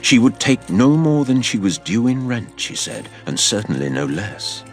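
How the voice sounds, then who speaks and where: A man playing a grave narrator who recounts his boyhood adventure in measured, literary storytelling for an audio drama. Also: medium pitch, sing-song, soft background music, natural voice. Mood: grave, suspenseful.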